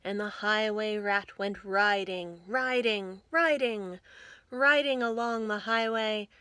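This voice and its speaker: A woman reading a picture book aloud for young children, expressive and deliberate, with dramatic emphasis. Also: mid-range voice, clear, resonant tone, dry recording, studio quality. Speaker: children's librarian reading storytime.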